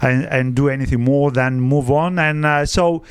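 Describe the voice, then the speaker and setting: Guest spot: man in his 50s, from Egypt, Greek-native, halting speech, smooth clear voice, dry studio. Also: mid-range voice, steady tone, no room sound. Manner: halting, frequent "uh" fillers, non-native grammar. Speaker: guest, wealth adviser. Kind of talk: podcast interview.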